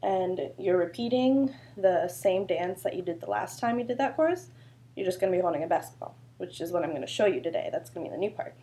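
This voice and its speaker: Mellow-voiced woman teaching choreography. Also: lowish voice. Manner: chatty, loose, many fillers. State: sore throat. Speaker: dance choreographer. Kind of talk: casual dance instruction video.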